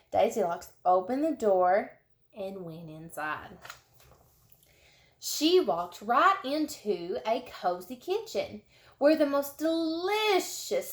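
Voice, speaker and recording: A woman reading a children's storybook as narrator, expressively and with dramatic, drawn-out emphasis. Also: medium pitch, darkish tone, reverberant.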